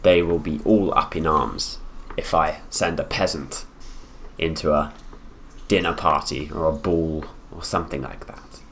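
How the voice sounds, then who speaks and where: A man voicing a haughty, possessive prince in an improvised ASMR roleplay, speaking to the listener with condescension and deliberate pauses. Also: extremely low pitch, steady tone, a bit bright, slight background noise, heavily acted. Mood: smug, matter-of-fact. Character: haughty possessive young prince, heir to the throne, coolly menacing.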